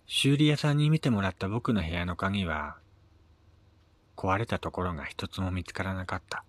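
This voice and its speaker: Low-voiced man reading a short story. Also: normal speed, no room sound. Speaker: amateur reader of his own short stories. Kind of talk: first-person short story narration.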